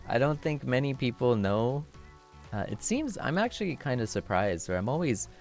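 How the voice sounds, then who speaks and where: A young man streamer chatting casually with viewers, laughing often and thanking them warmly, moving between a low relaxed voice and a higher one. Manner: shifts between low chill voice and high voice.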